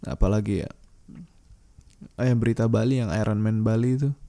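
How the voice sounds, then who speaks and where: A man's voice: fairly low pitch, steady tone, fairly quick, warm tone. A man hosting a solo podcast, talking in a casual, slangy, rambling way and thinking aloud with hesitations.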